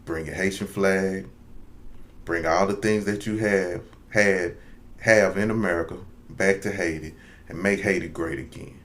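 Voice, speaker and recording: Low-voiced sarcastic man. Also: steady tone, unhurried, a bit bright. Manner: sarcastic, mocking farewell. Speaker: social media commentator. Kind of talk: casual sarcastic monologue.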